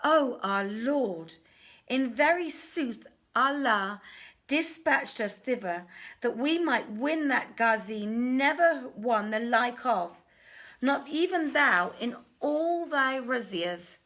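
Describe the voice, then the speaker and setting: Woman putting on loud, high, pleading merchant men. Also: expressive, takes it slowly, full character voice. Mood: earnest, secretive. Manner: loud, declamatory, beseeching. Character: aggrieved male merchants pleading humbly before a king. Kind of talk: storybook character reading.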